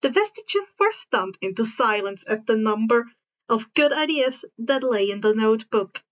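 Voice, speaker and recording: A woman reading fan fiction aloud as an expressive story narrator. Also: a little high, moderate pace, highs cut off.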